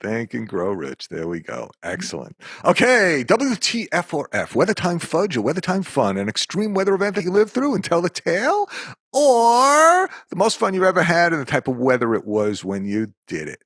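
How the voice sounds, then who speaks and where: A man's voice: fairly high pitch, big pitch swings, moderate pace, a bit bright, dry recording, studio quality. An older man with a New York accent hosting an interview in an upbeat, encouraging way. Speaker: podcast host. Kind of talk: casual interview chat.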